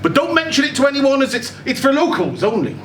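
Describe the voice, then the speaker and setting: A man reading a story aloud, voicing a genial, courteous, very old village gentleman who is gently coaxing. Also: extremely high pitch, very animated, fast talker, voice pushed up. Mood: welcoming, confiding. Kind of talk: live short story reading. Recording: echoey live room, clear background noise.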